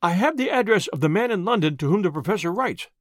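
A man's voice: high-pitched, animated, quick pace, no echo, clean studio sound. A man voicing an anxious, deferential secretary in an audiobook story, earnest, apologetic and urgent. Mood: earnest confiding.

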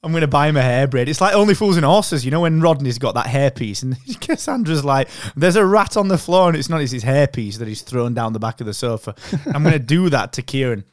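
A man's voice: medium pitch, expressive, brisk, hardly any pauses, no echo, studio quality. A man co-hosting a podcast in a casual, chummy, jokey way. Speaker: podcast co-host. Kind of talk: casual chat.

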